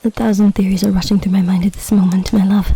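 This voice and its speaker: A woman's voice: mid-range voice, flat delivery, fast, warm tone, breathy edge, no room sound. A woman playing a regal, possessive, lovestruck lamia empress in a roleplay audio drama, speaking in formal, stately, dramatic phrases. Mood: grave, calculating.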